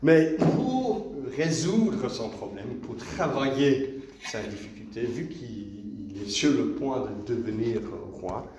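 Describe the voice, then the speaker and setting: A man giving a relaxed, chatty sermon, telling a story to the congregation in a church. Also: medium pitch, slow, a little hiss or hum. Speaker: preacher. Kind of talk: conversational sermon, retelling a film. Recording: echoey church from a distance.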